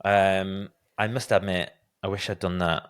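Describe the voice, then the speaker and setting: A man with a lowish, smooth and resonant voice hosts a podcast interview. He talks in a casual, conversational way and tells candid, self-deprecating stories about himself. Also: flat delivery, unhurried, a bit bright. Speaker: podcast host.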